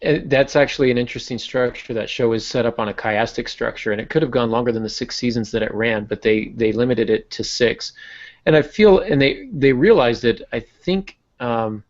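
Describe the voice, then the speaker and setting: A man's voice: medium pitch, fairly quick, muffled recording. A man hosting a podcast in a casual teaching discussion, analytical and posing questions that he then answers. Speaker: podcast host.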